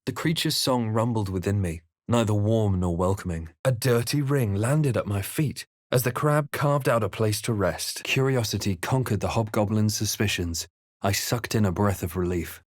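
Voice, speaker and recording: Low, grave fantasy game hero, acted by a man. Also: moderate pace, dry recording, studio quality, close to an everyday voice. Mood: grave, intent. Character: grave, wary male fantasy adventurer hero. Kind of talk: scripted game narration and character lines.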